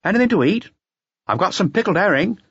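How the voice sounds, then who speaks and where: A man acts out a garrulous, conniving barman in a dockside dive for an audiobook. The voice is pitched well up and runs on in colloquial working-class phrases. The recording is dry and a little dull. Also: lively intonation, a little fast, a bit bright, breathy edge, no echo, heavily acted. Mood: chatty. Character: chatty, conniving male harbour barman. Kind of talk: audiobook character dialogue.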